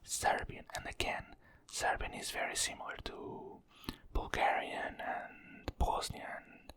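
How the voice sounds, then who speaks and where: Slow soft ASMR whisperer from Portugal. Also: no echo. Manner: soft whispering, slowly repeating each phrase. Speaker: ASMR creator.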